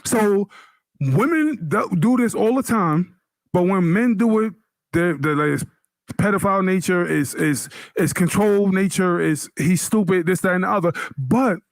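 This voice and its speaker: A man's voice: high voice, lively intonation, unhurried, dry recording. A man in his thirties, a podcast co-host, arguing his point in a heated casual debate and repeating himself when pressed. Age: 30s.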